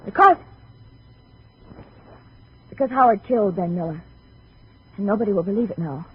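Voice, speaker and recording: An actress playing a troubled, guarded American femme fatale with melodramatic hesitations, in 1950s radio drama style. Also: female voice, medium pitch, lively intonation, moderate pace, lightly acted. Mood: agitated. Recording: dull, faintly noisy vintage radio recording.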